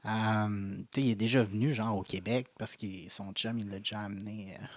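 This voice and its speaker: A man's voice: fairly low pitch, fairly even intonation, a little fast, no echo. A man with a Québécois French accent, co-hosting a podcast and telling rambling stories in casual chat. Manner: rambling, many fillers, laughs while telling stories. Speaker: podcast co-host.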